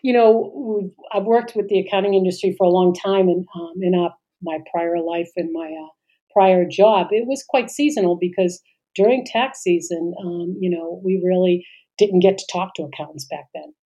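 A middle-aged woman with a mellow, fairly low voice co-hosts a podcast. She talks casually and haltingly, trails off, and fills gaps with "uh" and "you know". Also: low-quality recording. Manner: hesitant, trailing off, many fillers. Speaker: podcast co-host.

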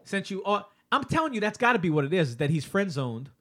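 A man's voice: high-pitched, sing-song, quick pace, a bit bright. A man co-hosting a podcast, trading slangy, crude, playful trash talk. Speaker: podcast co-host. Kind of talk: casual comedic chat.

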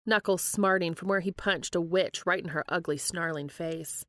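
Close, dry-sounding woman narrator, lowish voice. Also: moderate pace, fairly bright tone, no room sound. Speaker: podcast host and reader. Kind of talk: scripted narration of fan fiction.

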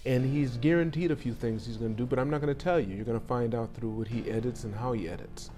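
A middle-aged man hosting a casual interview, chatty and rambling, correcting himself and firing quick follow-up questions. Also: medium pitch, warm tone, faint music behind. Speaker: podcast host.

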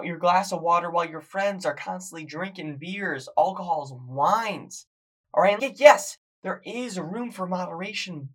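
A hoarse young man, a fitness coach and podcast host, talking energetically to viewers on a live stream as he loses his voice. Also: high-pitched. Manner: hyped, shouting encouragement to viewers.

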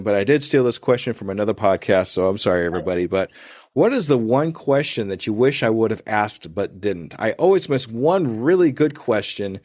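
A man's voice: mid-range voice, a little mellow, dry recording, clean studio sound. A man hosting a podcast interview, chatty and rambling with frequent restarts. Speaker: podcast host. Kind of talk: casual interview chat.

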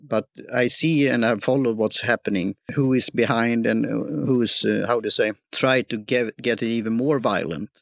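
Guy hosting a chat show, gravelly voice, stops and starts a lot, dry close sound. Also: medium pitch, no room sound. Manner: halting, searching for words, many uhs. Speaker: talk show host. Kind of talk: casual interview chat.

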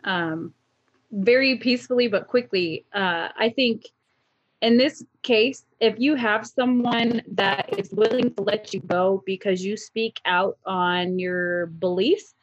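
A woman's voice: mid-range voice, no echo, muffled recording. A woman speaking as a panelist on a video call, firm, direct and blunt.

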